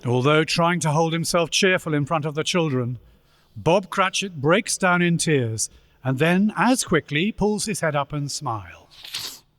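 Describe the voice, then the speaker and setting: A man narrating a ghostly Christmas radio play as its storyteller, in a theatrical, deliberate manner. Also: a little high, lively intonation, a bit bright, no room sound. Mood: gentle storytelling.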